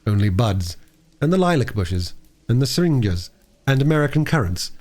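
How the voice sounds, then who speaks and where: A man's voice: medium pitch, very animated, moderate pace, darkish tone. A man reading a storybook aloud as a narrator. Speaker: audiobook reader.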